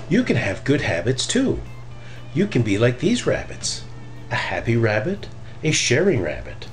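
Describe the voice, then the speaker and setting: A middle-aged man reads a picture book aloud for children. He takes his time, with expressive phrasing and a lively rise and fall in pitch. Faint music plays behind him. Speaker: storybook reader. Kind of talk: children's story read aloud.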